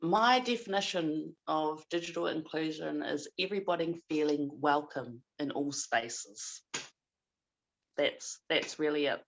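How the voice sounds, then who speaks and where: A woman with a New Zealand accent speaking on a video-call panel, relaxed and thoughtful with some hesitation. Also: deep voice, measured pace, rough recording. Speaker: multimedia artist and panelist. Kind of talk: casual self-introduction and discussion.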